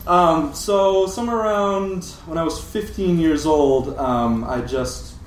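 A man's voice: a little high, takes it slowly. A man with a Midwestern American accent telling a casual, self-deprecating comic story live. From Europe, Britain, moved to the States in seventh grade. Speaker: guest storyteller. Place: live event room with audience. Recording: echoey live room, distant mic, noisy.

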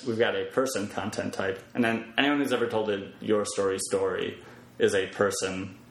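A man speaking as a podcast guest, casually explaining technical things in halting pieces. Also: on the low side, steady tone. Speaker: podcast guest, web developer. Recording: roomy echo, clear background noise.

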